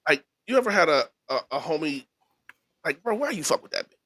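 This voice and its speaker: A man's voice: on the high side, sing-song, brisk, fairly bright tone. A man co-hosting a casual podcast, chatting loosely with a friend, laughing often and repeating himself. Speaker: podcast co-host. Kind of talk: casual crude banter.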